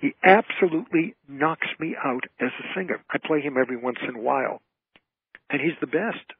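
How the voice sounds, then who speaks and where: Raspy man on a phone line. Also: medium pitch, animated, brisk. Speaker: guest, entertainer and author. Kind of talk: casual phone-in interview chat. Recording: narrow, tinny phone line.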